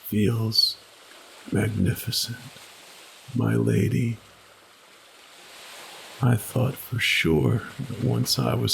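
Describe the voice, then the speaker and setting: A man playing an entranced, adoring male castaway in a creepy story, speaking haltingly and reverently. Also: lowish voice, creaky, voice pushed up, full character voice, low-quality recording. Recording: faint background noise.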